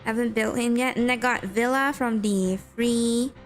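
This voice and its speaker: A young Malaysian-accented woman with a slightly high voice chats with her stream viewers as she plays. She reacts to the game, greets people in chat and reads game lines aloud. Soft game music plays underneath her voice. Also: measured pace.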